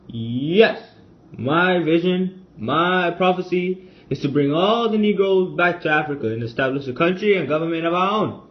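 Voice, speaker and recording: A man's voice: on the high side, lively intonation, moderate pace, lightly acted. Man playing a formal 1920s radio man, muffled. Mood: earnest. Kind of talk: scripted amateur radio broadcast role-play. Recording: dull, lo-fi recording.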